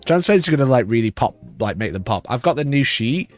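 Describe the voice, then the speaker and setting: A man with a rough, hoarse voice, chatting casually on a livestream and rambling with frequent restarts. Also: medium pitch, very animated, brisk, faint music behind. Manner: rambling, restarts mid-sentence. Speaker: livestream host.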